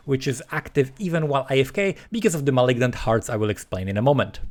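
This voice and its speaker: Man narrating a video game guide. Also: medium pitch. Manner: explanatory, addressing the viewer directly. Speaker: gaming video creator presenting a build guide. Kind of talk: scripted instructional game guide voice-over.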